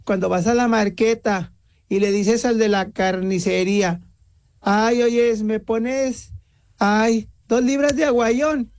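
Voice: medium pitch, steady tone, slow, a little mellow. A caller to a radio comedy show, telling a joke on air.

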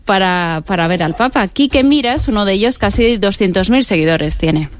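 A woman's voice: mid-range voice, expressive, moderate pace, dry recording, clean studio sound. A female radio correspondent presenting a segment with upbeat, wry quips.

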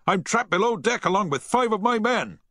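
A man doing a trapped engineer's high urgent shout. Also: lively intonation, a little fast, no room sound, studio quality, exaggerated voice. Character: trapped male chief engineer calling up.

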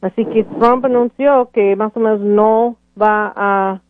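A woman's voice: mid-range voice, fairly even intonation, takes it slowly, no room sound. Woman, immigration lawyer, hesitant with fillers, phone-like, dry. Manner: hesitant, many fillers and restarts. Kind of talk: radio call-in advice. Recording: tinny phone-like radio audio, dry.

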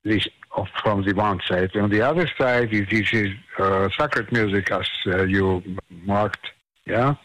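A middle-aged conductor from Armenia, a non-native speaker, talking slowly with many fillers and restarts in a radio interview. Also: male voice, lowish voice, a bit bright, rough recording. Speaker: conductor and pianist.

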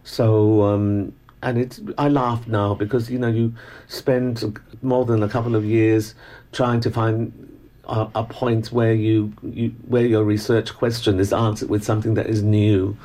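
An older man with an educated Hong Kong English accent, a Cantonese speaker, reminiscing as a guest in a relaxed interview with frequent restarts and small laughs. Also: lowish voice, measured pace. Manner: thoughtful, with many restarts and soft laughs.

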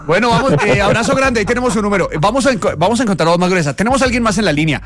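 A man hosting a radio show, taking calls in a brisk, upbeat way. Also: high-pitched, lively intonation, a bit bright, dry recording. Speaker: host.

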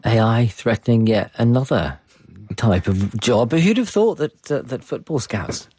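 A man anchoring live radio news, passing to reporters in a relaxed, chatty way. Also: medium pitch, normal speed, breathy edge.

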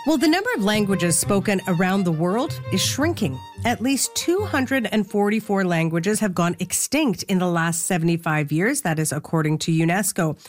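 A woman's voice: medium pitch, animated, normal speed, hardly any pauses, soft background music. A woman with a Canadian accent reading a scripted introduction as a radio host.

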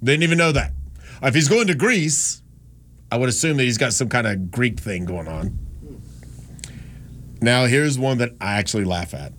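A man's voice: mid-range voice, lively intonation, moderate pace, long pauses, a bit bright, a little hiss or hum. A man hosting a talk radio show in a studio, chatting in a rambling, self-deprecating way about listener texts. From Gastonia, North Carolina. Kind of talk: casual talk radio chat. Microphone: studio microphone.

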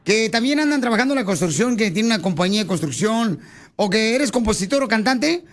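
A man's voice: high voice, animated, fairly quick. A man hosting a radio talk show, teasing his caller playfully and calling her pet names. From Mexico (Ocotlán).

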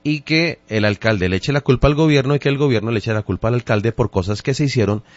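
A man hosting a morning radio show, moderating calmly and wrapping up topics. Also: mid-range voice, fast, dry recording, dull audio. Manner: moderating, calming, wraps up topics. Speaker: radio host.

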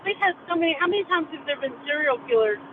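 A woman's voice: high-pitched, animated, very fast. A woman calling into a radio show, speaking emphatically and insistently. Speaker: caller. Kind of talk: phone-in commentary. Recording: tinny cutting-out phone line with faint noise.

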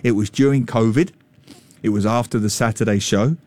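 A man in his fifties hosting a radio talk show, telling stories in a chatty, jokey way with a slightly loud voice. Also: on the low side, normal speed, dry recording. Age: 50s. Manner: chatty, jokey, animated storytelling. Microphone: studio microphone.

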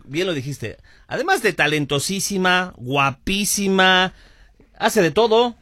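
A man's voice: high voice, lively intonation, unhurried, pushed and bright, dry recording, studio quality. A man, hosting a radio show with teasing, enthusiastic banter. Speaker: radio host. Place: radio studio booth.

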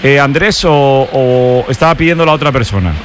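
A man's voice: mid-range voice, expressive, brisk, a bit bright, a little hiss or hum. A man with a Spanish accent from Spain, bantering casually and asking teasing questions as a talk show host. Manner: teasing, inquisitive. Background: music.

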